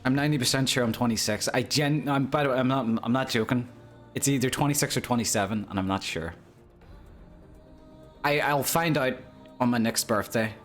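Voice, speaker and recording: A man's voice: mid-range voice, brisk, soft background music. A man in his twenties chatting casually with viewers on a live stream, rambling and joking at his own expense. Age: 20s. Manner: rambling, joking, self-deprecating, laughs often.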